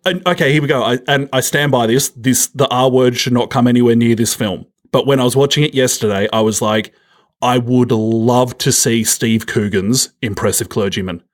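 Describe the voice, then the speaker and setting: A man's voice: medium pitch, moderate pace. A man co-hosting a film podcast in casual conversation, jokey and emphatic, often repeating himself to make a point.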